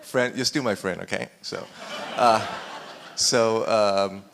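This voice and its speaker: Lowish-voiced guy from singapore, english not his first language, jokey panel chat, bit of hiss behind. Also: fairly even intonation, takes it slowly, vocal fry. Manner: self-deprecating, joking, laughs often. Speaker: filmmaker panelist. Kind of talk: casual panel talk.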